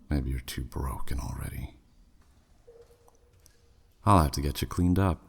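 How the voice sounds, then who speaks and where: A man's voice: fairly bright tone, full character voice. A man voicing a flirty, menacing demon who taunts a captive in a teasing, smug way, in a scripted ASMR roleplay. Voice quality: very deep, husky, breathy at phrase ends. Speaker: audio roleplay performer.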